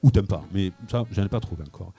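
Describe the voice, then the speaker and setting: A man's voice: deep voice, fast talker, vocal fry, dark tone, breathy edge, faint music behind. A man telling a wine anecdote on live radio from a wine shop, with frequent hesitations and repeated words. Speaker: wine columnist. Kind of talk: radio wine chronicle, storytelling.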